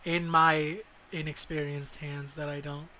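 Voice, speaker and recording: Man, somewhat high-pitched, casual gaming commentary, profane, self-directed muttering, noisy background. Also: flat delivery, measured pace, sharp tone. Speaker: video game streamer.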